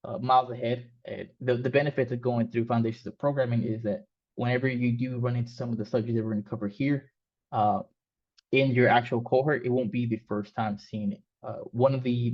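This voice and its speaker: A man whose first language is Spanish, teaching an online coding class in a loose, casual way with many fillers and restarts. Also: mid-range voice. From Mexico. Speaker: coding instructor. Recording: slightly dull, lo-fi sound.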